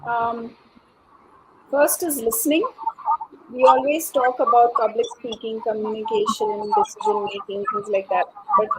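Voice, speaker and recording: A woman's voice: a little high, steady tone, unhurried. A woman panelist giving considered answers in an unscripted discussion. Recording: noisy online call.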